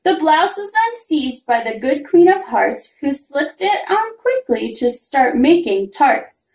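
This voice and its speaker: Female storyteller for children's picture book, very animated singsong read, roomy sound. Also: high-pitched, takes it slowly. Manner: expressive, singsong rhyming delivery. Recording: roomy, echoing room sound.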